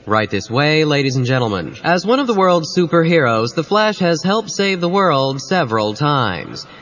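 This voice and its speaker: A man's voice: fairly high pitch, big pitch swings, moderate pace, fairly bright tone. A man playing a chipper, formal tour guide in an audio drama, with a rehearsed presentational delivery. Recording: dull cassette sound.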